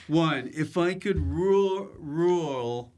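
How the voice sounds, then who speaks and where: A man's voice: on the high side, very slow, noisy background. A man hosting a casual, comedic interview podcast, talking in a loose way with short prompts. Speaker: podcast host.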